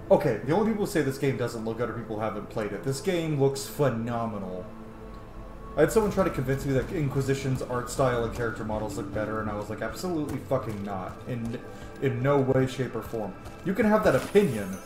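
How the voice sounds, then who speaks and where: A man giving casual, rambling let's-play commentary, gushing and swearing freely as he goes. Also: mid-range voice. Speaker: gaming streamer playing and commenting. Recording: roomy echo with faint background noise.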